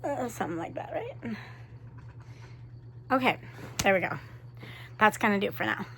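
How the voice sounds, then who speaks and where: A woman vlogging to her camera in a chatty, animated way, with a cold and her voice starting to fade. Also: medium pitch, vocal fry, a little hiss or hum. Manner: chatty, animated, quick asides. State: sick with a cold, voice fading. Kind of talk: casual chat to camera.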